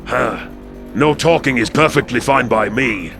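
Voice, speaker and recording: A man's voice: mid-range voice, unhurried, creaky, pitched above the performer's own voice. A man voicing a scowling, distrustful alien predator advisor with a surly, contemptuous manner in a sci-fi audio story. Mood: sulky. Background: background music.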